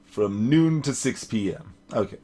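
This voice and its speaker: A man's voice: fairly low pitch, lively intonation, measured pace, dark tone, breathy edge. A man doing live let's play commentary as a game streamer, with wry, joking asides. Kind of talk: live let's play commentary mixed with voiced dialogue reading. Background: game music.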